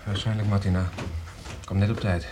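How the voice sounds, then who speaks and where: A man's voice: low voice, little pitch movement, brisk, a little mellow, a little hiss or hum, natural voice. A man acting in a radio play as a sober, practical man who takes charge. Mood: grave, practical.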